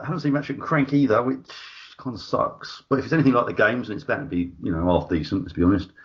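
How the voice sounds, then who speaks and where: Male co-host, mid-pitched, enthusiastic and rambling, dull lo-fi podcast sound. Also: fairly bright tone. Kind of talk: casual podcast chat.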